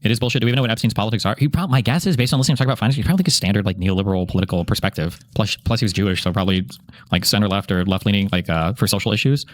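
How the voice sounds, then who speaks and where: A man's voice: on the low side, racing. A man giving casual political commentary in an argumentative, exasperated manner.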